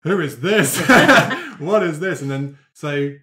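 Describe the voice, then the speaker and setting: A man chatting informally and a little haltingly as a tabletop roleplayer describing his character's backstory. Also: a little high, big pitch swings, sharp tone. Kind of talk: casual chat about his character's backstory.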